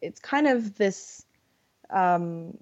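A young woman with a Californian accent, a guest chatting casually, friendly and unhurried, with many fillers. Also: mid-range voice, expressive, darkish tone. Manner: friendly, fillers and laughs, deliberate. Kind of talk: casual conversation. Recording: dull lo-fi sound.

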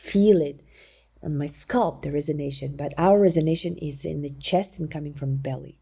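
A woman who teaches singing, talking in an interview in calm, gentle, halting non-native English with many fillers. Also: deep voice, vocal fry, breathy edge. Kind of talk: conversational interview.

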